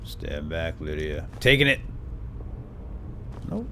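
A man's voice: a bass voice, sing-song, takes it slowly, fairly bright tone, a little hiss or hum. A man giving playful, lightly role-played let's play commentary, chatting to his in-game companion.

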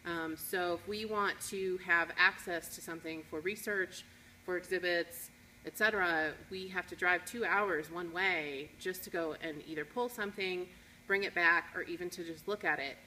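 A young woman with a fairly low but bright, forward voice explains things briskly in an interview. She is seated in a warehouse with faint room noise behind her. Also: fairly even intonation, rough recording. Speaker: collections manager. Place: warehouse indoors.

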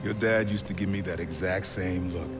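A man acts out a streetwise uncle who has left crime behind, gruff and protective. His voice sits on the low side. It is cinematic game dialogue with clear music behind it. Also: moderate pace, close to an everyday voice. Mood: wry. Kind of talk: video game cutscene acting.

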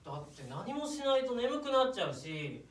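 A man's voice: extremely high pitch, big pitch swings, moderate pace. A man playing a playful, restless young climber with a silly, eager manner in a live stage comedy sketch. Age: young adult. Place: small theatre stage, indoors. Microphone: far camera. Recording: distant, echoey theatre recording.

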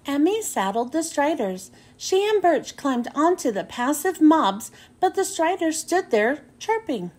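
High-pitched, expressive woman reading a children's storybook. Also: unhurried, few pauses. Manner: careful, expressive phrasing for young listeners. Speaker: children's book reader and host. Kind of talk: read-aloud storybook narration for children.

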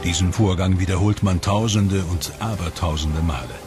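A man reading steady, explanatory narration for a science documentary. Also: low voice, moderate pace. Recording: music bed under voice.

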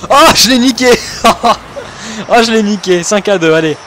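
A young man from Switzerland, commentating his own gameplay excitedly and laughing often. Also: high voice, moderate pace, faint music behind. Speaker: gaming YouTuber.

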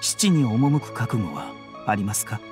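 A man playing a calm, courteous physician in a Japanese video game, speaking in a polite, formal and solemn way. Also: mid-range voice, moderate pace, natural voice. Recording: background music under the voice.

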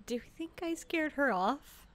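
Female game player, leisurely pace, lively intonation, casual in-character roleplay. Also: high-pitched, unhurried.

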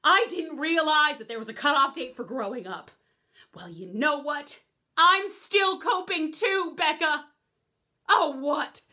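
Hurt, defensive young woman, high and bright , done by a woman. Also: animated, a little slow, heavily acted. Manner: defensive, then apologetic.

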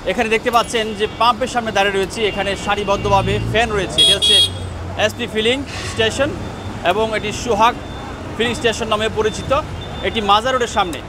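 Man, field reporter, high voice, hesitant, outdoor traffic noise, handheld mic. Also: steady tone, quick pace. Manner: wordy, with frequent hesitations. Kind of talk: on-the-spot news report. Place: outdoors at a busy roadside petrol station.

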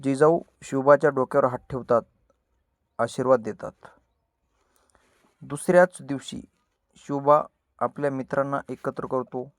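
A man narrating a scripted children's story as a storyteller, with measured, dramatic delivery in short phrases. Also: medium pitch, steady tone, dry recording, studio quality.

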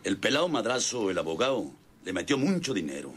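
An actor playing a greedy, boastful, bossy Mexican ranch gang boss in a rural radio soap, blustering and groaning over a bad leg. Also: male voice, lowish voice, sing-song, brisk, fairly bright tone. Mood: scheming, boastful. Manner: blustering, scolding, groaning with pain. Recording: noisy old radio drama recording.

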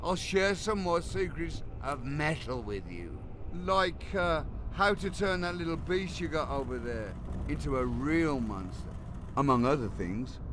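An actor playing a cagey guardian of metal secrets in a video game, rambling theatrically. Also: male voice, a little high, expressive, unhurried, background noise. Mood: instructing. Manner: rambling, theatrical, swearing freely. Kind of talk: video game cutscene acting.

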